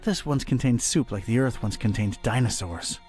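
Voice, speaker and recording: An actor playing a wisecracking, cynical detective in a cartoon adventure game, wry and world-weary in noir-parody style over music. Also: male voice, mid-range voice, moderate pace, darkish tone, lightly acted. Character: wisecracking hard-boiled detective man, cynical and grumbling. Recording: music under the voice.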